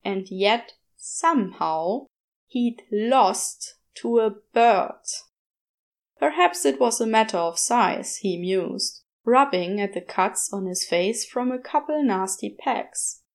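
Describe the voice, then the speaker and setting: A woman's voice: mid-range voice, animated, slow. A woman reading a story aloud as scripted narration. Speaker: podfic reader.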